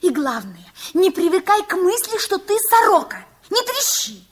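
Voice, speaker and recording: A woman's voice: very high voice, sing-song, moderate pace. An actress playing a girl turned into a wise, world-weary horse in a radio play, giving folksy, resigned advice. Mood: resigned, advising.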